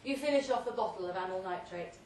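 A woman's voice: medium pitch, quick pace, a little mellow. Distant, echoey woman reading a story aloud. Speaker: reader of a short story at a live event. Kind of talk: dramatic literary reading, scripted second-person narration. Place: indoor pub event, before an audience. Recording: roomy live pub recording, distant mic, faint noise.

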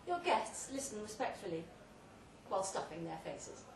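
A woman giving a theatrical, deliberate live reading of a comic short story, with dramatic pauses. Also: medium pitch, big pitch swings, gravelly. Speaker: story reader at a live literary event. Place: pub event room, before an audience. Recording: roomy, distant live recording, faint noise.

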